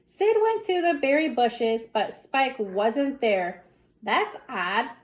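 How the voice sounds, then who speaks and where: Woman, high-pitched, unhurried pace, expressive children's storyteller, theatrical emphasis, deliberate delivery. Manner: slow, deliberate, theatrical emphasis on action words. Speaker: storyteller reading a children's book. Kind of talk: expressive bedtime story read-aloud.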